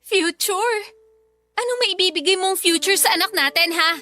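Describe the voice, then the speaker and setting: Young nagging wife, extremely high voice, accusing, jealous, radio drama, music underneath. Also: female voice, moderate pace, pushed and bright, no echo. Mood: bitter, scornful. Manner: accusing, confrontational. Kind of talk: Filipino radio drama acting.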